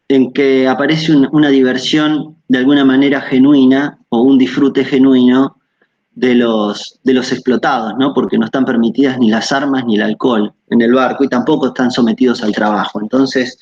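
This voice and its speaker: A man's voice: mid-range voice, a little mellow, dull audio. A man giving an academic lecture over a video call, hesitant, with many fillers and tag questions.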